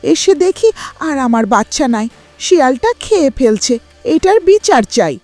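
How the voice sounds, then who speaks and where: A woman's voice: sits high, very animated, a little slow, a little mellow, no echo. A woman voicing a small grieving mother bird in a cartoon moral story, pleading for justice. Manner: plaintive, pleading. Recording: music behind the voice.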